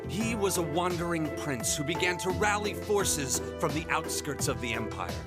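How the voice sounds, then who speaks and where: The academy lecturer at the front of a video-game classroom, acted by a man, telling history with theatrical swoops over loud music. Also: fairly high pitch, expressive, close to an everyday voice. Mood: engaged, didactic. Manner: measured, theatrical storytelling. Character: learned history teacher at a military academy.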